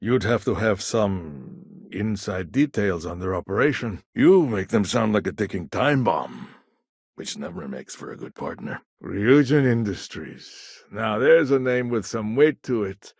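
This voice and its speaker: Casting: a smooth, wry corporate dealmaker for a video game; gravelly tone, lively intonation, dry close recording. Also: male voice, on the low side, unhurried, dry recording, heavily acted. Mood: skeptical, firm. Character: smooth, shrewd, courteous male corporate business negotiator. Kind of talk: video game dialogue lines.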